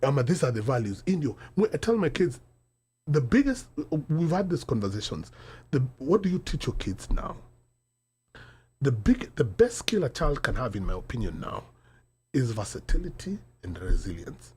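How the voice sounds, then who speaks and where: A man's voice: medium pitch, big pitch swings, moderate pace, a little mellow, husky. A middle-aged man with a Kenyan accent who switches between English and Sheng in mid-sentence, talking emphatically and asking rhetorical questions in a panel discussion. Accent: Kenyan, mixing English and Sheng Swahili. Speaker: podcast host.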